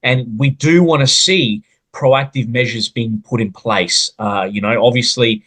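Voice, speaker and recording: A man's voice: medium pitch, a little slow, fairly bright tone. Australian man hosting a podcast interview. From South Australia. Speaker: podcast host.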